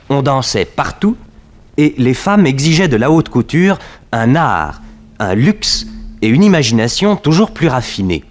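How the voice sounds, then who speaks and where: Narrator of a vintage French detective radio serial, played by a male actor: medium pitch, highly animated, articulate storytelling. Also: close to an everyday voice. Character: detective storyteller recounting his uncle's adventures. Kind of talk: scripted narration in 1960s French radio drama.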